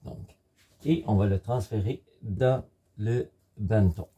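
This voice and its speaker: Man, middle-aged, low pitch, very dark mellow tone, husky, halting instructional delivery, home cooking demonstration. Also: highs cut off. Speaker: home baker presenting a recipe. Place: home kitchen, indoors.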